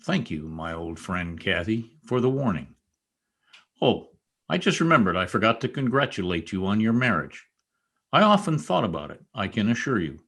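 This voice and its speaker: Brooding self-made gentleman for radio drama, played by a man: lowish voice, very varied intonation, sardonic and commanding. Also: sing-song, normal speed, husky, exaggerated voice. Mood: sardonic, bitter. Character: brooding, bitter, newly rich former stable boy, adult man. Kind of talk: old-time radio drama acting.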